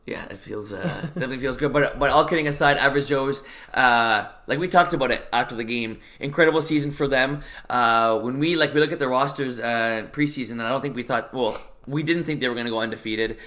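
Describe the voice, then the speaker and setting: Young guy recapping games, bright clear voice, lots of uhs and likes. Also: mid-range voice, fast, hardly any pauses. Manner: relaxed, hesitant with many fillers. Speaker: co-host. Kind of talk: casual sports recap chat.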